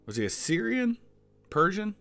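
A man's voice: high voice, very animated, normal speed, vocal fry, sharp tone, faint music behind. A man in his forties giving casual, conversational reaction commentary, thinking aloud. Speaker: history YouTuber reacting to a quiz.

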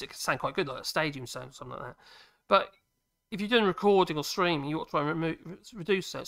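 Husky, bright-voiced man. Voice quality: husky at the moment, fairly high voice. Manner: rambling, self-correcting, chatty. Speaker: woodworking channel host. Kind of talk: casual live stream chat. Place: cluttered home workshop, indoors. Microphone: cheap USB desk microphone. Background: air compressor running at times.

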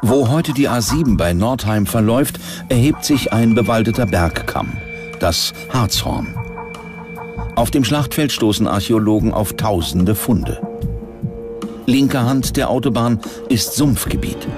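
Low-voiced male documentary narrator over music. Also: animated, measured pace. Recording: music bed under the voice.

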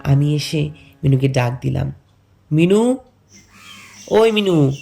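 A man's voice: a little high, lively intonation, takes it slowly, creaky, dark tone, soft background music, heavily acted. A man playing a lovelorn young villager who tells his tragic love story in a pensive, scripted first-person narration. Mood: earnest, concerned.

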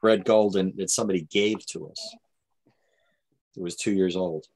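A man with a deep voice chats loosely on a video call. He rambles a bit in relaxed small talk, with fillers along the way. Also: normal speed, a little hiss or hum. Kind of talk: casual video call chat.

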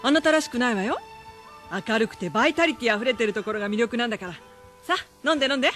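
Quick, bright, chirpy bar hostess. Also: female voice, fairly high pitch, lively intonation, soft background music. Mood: breezy, cheering. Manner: chirpy, encouraging, flippant. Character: breezy, cheering bar hostess. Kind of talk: Japanese radio drama acting.

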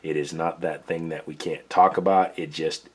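A man's voice: rumbling low, steady tone, moderate pace, husky. A man who is losing his voice gives a casual, plain-spoken, step-by-step demonstration at his workbench. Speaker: gun reviewer, show host. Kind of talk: casual tabletop demo. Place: indoor workbench.

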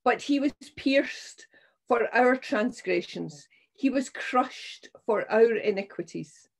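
An older woman minister reading scripture and preaching in a solemn, measured, deliberate way. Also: a little high, expressive, vocal fry. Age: 60s or older. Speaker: minister leading a church service. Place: small room at home, indoors.

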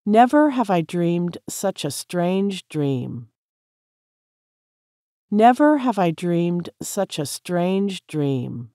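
A woman with an American accent reading English practice sentences clearly and carefully, repeating each one for learners to copy. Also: fairly low pitch, big pitch swings, very slow, mellow, no room sound, clean studio sound.

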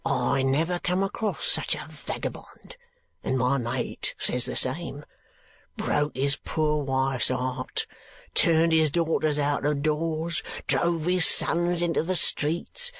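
A sulky working-class keeper, as a woman reader voices him, low and husky, drawling his blunt words slowly. The recording is close and dry. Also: no room sound, full character voice.

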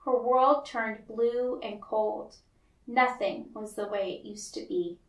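A woman reading a picture book aloud to children, with deliberate, expressive storytelling narration. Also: a little high. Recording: roomy, echoey, distant mic.

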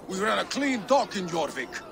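A shifty, officious male dockmaster. He sounds greedy, with a fairly high voice and lively swings in pitch. Music plays underneath. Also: normal speed, creaky, a crowd in the background, heavily acted. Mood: dismissive. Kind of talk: video game cutscene acting.

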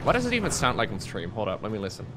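A man's voice: fairly low pitch, huge pitch swings, rapid-fire, loud music behind the voice. A man streaming from home, bantering jokingly with chat while testing voice effects on a desk mic. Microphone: desk mic through an audio interface.

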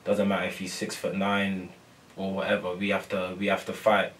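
Low, flat-voiced young footballer. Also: male voice, normal speed, far from the mic, a little hiss or hum. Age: young adult. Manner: soft-spoken, many ums and repeated phrases. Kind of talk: post-match interview. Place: press room before a sponsor board.

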